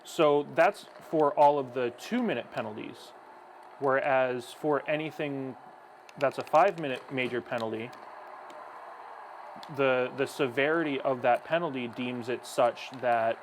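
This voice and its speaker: A man's voice: medium pitch, a little slow, a little hiss or hum, poor audio. A young man who broadcasts hockey, chatting while gaming and then calling the action play-by-play.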